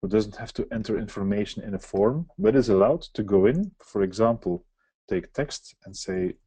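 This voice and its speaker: Low-voiced man demoing software. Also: normal speed, breathy edge, no room sound. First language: Dutch. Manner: explanatory, walks through on-screen steps. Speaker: software presenter. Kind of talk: live software demo webinar.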